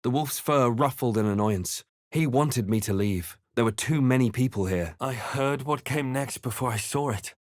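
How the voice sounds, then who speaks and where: Casting: a man as brooding male adventurer for a fantasy video game, mid-pitched, earnest, theatrical, dry studio sound. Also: moderate pace, few pauses, no room sound, lightly acted. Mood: grave, narrating. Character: brooding male fantasy adventurer hero, narrating his visions and deeds. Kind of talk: dramatic video game voice acting.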